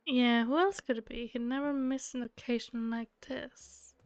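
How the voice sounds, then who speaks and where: Fairly high-voiced woman commenting on gameplay. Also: steady tone, normal speed, lo-fi sound, a little hiss or hum. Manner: informal, self-deprecating, thinking aloud. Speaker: game streamer.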